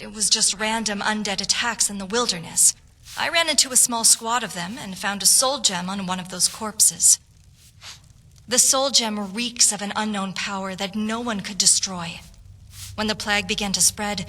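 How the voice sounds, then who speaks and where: A woman's voice: mid-range voice, bright, forceful tone, natural voice. A woman playing an earnest, patriotic young noble lady officer in a fantasy game, speaking formally and persuasively. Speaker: voice actor in a fantasy game quest mod. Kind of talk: scripted fantasy game dialogue. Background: background music.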